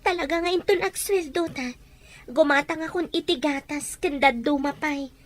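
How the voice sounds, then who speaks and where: A woman's voice: high-pitched, normal speed, natural voice. A woman with an Ilocano accent playing an earnest, aggrieved working daughter in an audio drama. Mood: subdued, troubled. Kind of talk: Ilocano radio-style drama acting.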